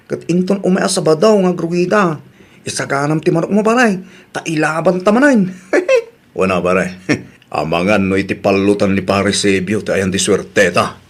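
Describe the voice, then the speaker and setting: A man with an Ilocano accent playing a rowdy, playful village cockfighting buddy in a comic radio drama. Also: a little high. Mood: amused.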